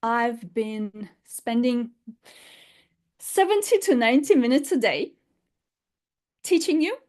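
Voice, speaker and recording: A woman's voice: fairly high pitch, sing-song, slow, a little mellow. A woman teaching an unscripted online lesson in English, her fourth language, explaining emphatically with rhetorical questions. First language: not English.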